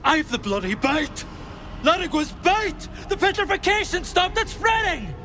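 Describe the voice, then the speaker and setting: A man's voice: very high voice, very animated, a little slow, heavily acted. An actor playing a doomed, panicking man in a video game, frantic and terrified of dying. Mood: alarmed disbelief. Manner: frantic, crying out.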